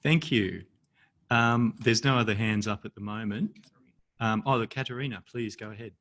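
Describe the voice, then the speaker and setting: A man with an Australian accent hosting an online storytelling event over a video call, relaxed and hesitant with many pauses. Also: on the low side.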